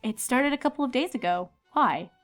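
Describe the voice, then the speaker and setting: A woman's voice: sits high, expressive, normal speed, fairly bright tone, faint music behind. A woman voicing an irritable, snappy adult alicorn pony princess in a cartoon fan-fiction story. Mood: snappy, exasperated. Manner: snappy, grumbling. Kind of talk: dramatized fan fiction reading.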